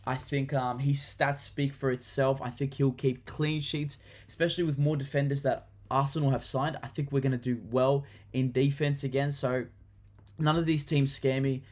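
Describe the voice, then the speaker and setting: A young Australian man talks through his fantasy football picks in an easygoing, chatty vlog. His voice sits at an ordinary middle pitch, and his delivery is enthusiastic, loose and full of ums and quick restarts. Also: flat delivery. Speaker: football vlogger. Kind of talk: casual fantasy football chat to camera.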